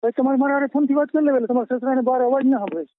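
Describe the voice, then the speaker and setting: A man's voice: extremely high pitch, rapid-fire, no room sound. A man with a Saurashtra Gujarati accent in a private phone conversation, insistently repeating his instructions as the caller. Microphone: telephone. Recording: muffled lo-fi phone call recording.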